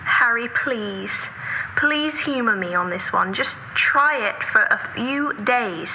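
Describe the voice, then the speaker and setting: Very bright-voiced, nagging sister, acted by a woman. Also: fairly high pitch, lively intonation, slow pace, lo-fi sound, a little hiss or hum, full character voice. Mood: pleading. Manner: persistent, pressing, lecturing. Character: earnest, nagging, orderly sister, exasperated but caring. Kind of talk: naturalistic audio drama dialogue.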